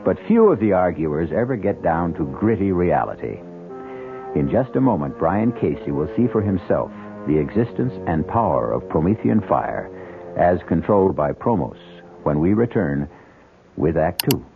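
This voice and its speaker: A man hosting and narrating a scripted radio mystery in a measured, dramatic storytelling manner. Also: low-pitched, sing-song, phone-quality sound. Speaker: host and narrator. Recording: thin old radio broadcast with music. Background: music.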